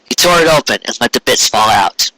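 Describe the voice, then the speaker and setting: Amateur male audiobook narrator: mid-pitched, sharply bright voice, scripted storytelling. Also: animated, fairly quick, dry recording, low-quality recording.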